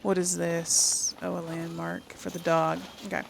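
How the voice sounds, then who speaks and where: A woman's voice: on the low side, flat delivery, a little slow, gravelly, slight background noise, low-quality recording. A woman hosting a casual let's play, chattily thinking aloud to her viewers. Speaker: gamer, series host.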